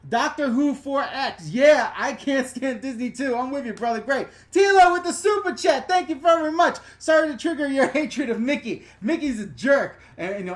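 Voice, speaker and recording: A middle-aged man from New York with a New York accent, hosting a casual live Q&A, talking in an animated, profane, rambling way with lots of digressions. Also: very high-pitched. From New York/New Jersey. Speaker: channel host answering live chat questions.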